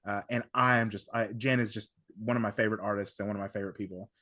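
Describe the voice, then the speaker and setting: A man talking casually as a podcast guest, giving recommendations in an effusive, halting way with emphatic superlatives. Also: lowish voice, steady tone, bright, forceful tone, no room sound, studio quality.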